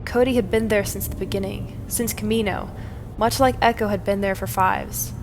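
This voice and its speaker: A woman's voice: mid-range voice, fairly even intonation, moderate pace, noisy background. A woman reading scripted, dramatic fiction narration as the storyteller. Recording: music bed under the narration. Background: music.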